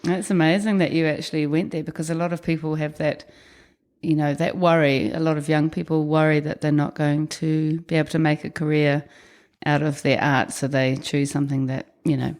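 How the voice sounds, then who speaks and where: A New woman hosts a podcast in a slightly low voice. She reads her intro from a script, then settles into relaxed, encouraging conversation with her guest. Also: a little fast. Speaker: podcast host. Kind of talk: scripted intro then casual interview chat.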